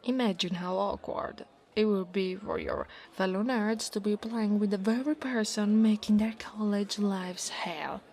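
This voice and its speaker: A woman's voice: medium pitch, very animated, a little mellow. A woman playing a big, domineering but insecure dragon college bully in an ASMR roleplay, with a teasing, haughty manner. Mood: mocking, haughty. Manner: teasing, haughty, drawn-out delivery with breathy asides.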